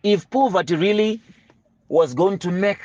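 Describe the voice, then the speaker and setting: A man's voice: sits high, measured pace, a little mellow, no echo, muffled recording. A man giving a motivational lesson on money, earnest and building with preacher-like emphasis. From Zimbabwe. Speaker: money mentor.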